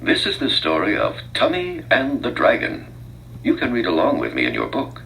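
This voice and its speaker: A man's voice: fairly low pitch, wildly swooping pitch, moderate pace, creaky, fairly bright tone, slight background noise, poor audio. A man reading a scripted read-along children's story as narrator, with dramatic delivery. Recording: music under the voice. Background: music.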